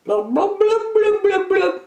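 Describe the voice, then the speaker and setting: A woman's voice: very high-pitched, big pitch swings, takes it slowly, mellow, distant mic, voice pushed up, heavily acted. A woman voicing an encouraging, chirpy mother in a storybook read-aloud, recorded in an echoey room. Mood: coaxing. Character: encouraging, chirpy mother coaxing her child. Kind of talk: picture book read-aloud. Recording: roomy echo.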